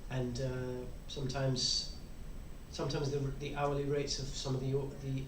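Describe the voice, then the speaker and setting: Halting man, distant in a noisy room. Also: mid-range voice, completely flat delivery. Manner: halting, hesitant. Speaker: panelist on audio fiction. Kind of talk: casual panel discussion. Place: echoey convention panel room. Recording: echoey, distant mic, noisy background.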